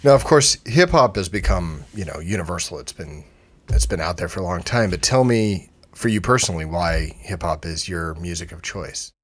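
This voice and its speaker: A man hosting an interview podcast, speaking in a measured, articulate way as he introduces and questions his guest. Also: low voice, lively intonation, breathy edge.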